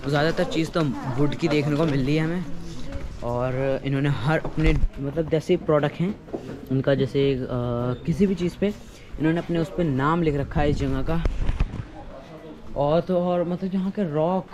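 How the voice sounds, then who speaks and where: A man's voice: a little high, normal speed, background noise. A young man walking a village street, chatting to his viewers as a travel vlogger. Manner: chatty, addresses viewers as friends, mixes English words in. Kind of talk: casual walking-tour vlog. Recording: street crowd chatter behind.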